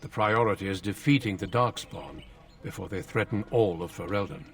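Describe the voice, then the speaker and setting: A man acts out a solemn warrior recruiter from a fantasy game. The voice is low, courteous and formal, with measured phrasing, heard a little away from the mic. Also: recorded from a distance, lightly acted.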